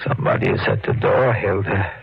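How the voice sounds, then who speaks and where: An actor playing a haunted, guilt-ridden husband in a 1940s radio mystery, brooding and dramatic, with deliberate phrasing. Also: male voice, on the low side, steady tone, creaky. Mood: tense. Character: haunted, guilt-ridden husband narrating his murderous obsession. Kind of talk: 1940s radio mystery acting, narration and dialogue. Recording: noisy, dull 1940s radio recording.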